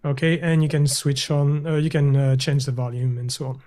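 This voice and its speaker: Man hesitantly walking through a software demo. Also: mid-range voice, level tone, normal speed. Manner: hesitant, many fillers and restarts. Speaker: guest software developer. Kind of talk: screen-share software demo.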